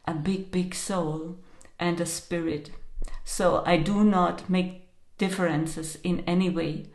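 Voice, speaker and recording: Woman, non-native English speaker, slow and hesitant monologue, lowish voice, roomy echo. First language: not English. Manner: slow, thoughtful, hesitant with fillers. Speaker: astrologer. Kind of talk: monologue on astrology.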